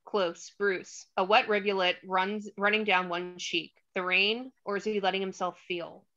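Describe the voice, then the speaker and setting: A woman narrating screenplay stage directions in a crisp, dramatic scene-setting manner. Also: medium pitch, fairly even intonation, unhurried, sharp tone, highs cut off.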